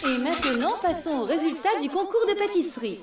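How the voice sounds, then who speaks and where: A woman's voice: high voice, lively intonation, fairly quick, echoey room, far from the mic. A woman voicing a formal female elephant school head in a French cartoon dub, announcing ceremoniously.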